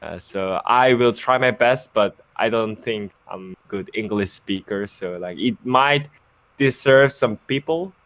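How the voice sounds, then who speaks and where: Low-voiced young Korean man, halting English. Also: fairly bright tone, dry recording. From Busan, South Korea. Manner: halting, many fillers and self-corrections. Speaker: esports caster and former pro player. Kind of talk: casual interview over a call.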